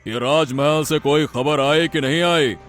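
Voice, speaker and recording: A man playing a rough, impatient bandit chief in an animated folk tale, gruff, rustic and blustering. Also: a little high, unhurried, faint music behind. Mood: impatient, demanding. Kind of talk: animated Hindi moral-story voice acting.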